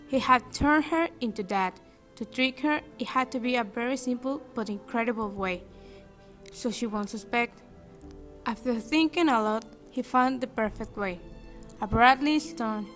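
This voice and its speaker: A young woman reads scripted storybook narration. Her pitch is somewhat high, and soft music sits behind the voice. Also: moderate pace.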